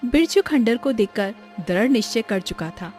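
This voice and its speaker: A woman's voice: on the high side, expressive, moderate pace, darkish tone. A woman reading a scripted moral story for children as its narrator. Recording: music behind the voice. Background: background music.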